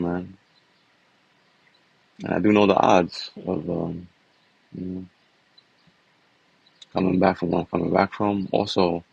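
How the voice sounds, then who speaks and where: A man's voice: deep voice, creaky, husky, dry recording, rough recording. A man, talking in a loose, casual way and often tagging his sentences with "man" and "you know.". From New York City. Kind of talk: casual personal vlog talk.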